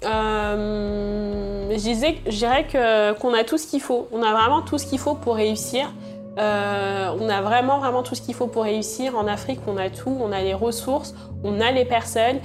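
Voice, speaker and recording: Young entrepreneur, high voice, hesitant, music bed underneath. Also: female voice, steady tone, normal speed. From Senegal, born in Congo, raised in France. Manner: hesitant, many fillers and restarts. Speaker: entrepreneur and channel creator. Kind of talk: interview answers.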